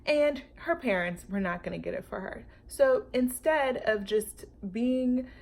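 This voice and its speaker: A woman reading a children's storybook aloud as a storytime teacher, expressive and speaking directly to young listeners. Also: on the high side, measured pace, gravelly.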